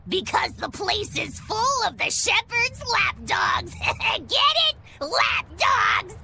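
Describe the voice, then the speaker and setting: A woman playing a shrill, excitable, pompous little creature in a video game, shouting its lines. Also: slow pace, vocal fry, a little hiss or hum, heavily acted. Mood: gleeful. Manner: shouting, overexcited. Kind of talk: English game voice acting.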